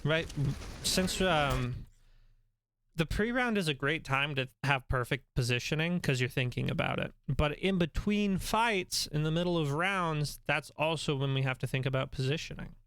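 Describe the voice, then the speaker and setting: A man coaching players through a game replay, talking in a relaxed, instructive way with many ums, his voice a little nasal. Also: a little high, normal speed, clean tone, dry recording. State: slightly nasal, voice going.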